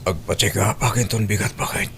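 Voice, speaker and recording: A man's voice: on the low side, a little fast, vocal fry, fairly bright tone, husky, exaggerated voice. A man playing an easygoing working husband talking casually to his wife in a family radio drama. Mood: weary, reassuring. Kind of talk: Ilocano radio-style family drama acting. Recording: faint hum behind the voice.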